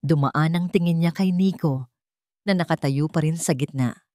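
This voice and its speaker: Low-voiced woman narrating a dramatic story. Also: normal speed, mellow, dry recording, clean studio sound.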